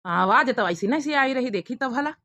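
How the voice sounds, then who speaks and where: Lovestruck young rural son for a cartoon woman performer, Awadhi accent, highish and bright, gushing, folksy. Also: animated, a little fast, no room sound, full character voice. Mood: smitten, gushing. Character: eager, lovestruck young village bachelor son. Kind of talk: Awadhi comedy cartoon acting.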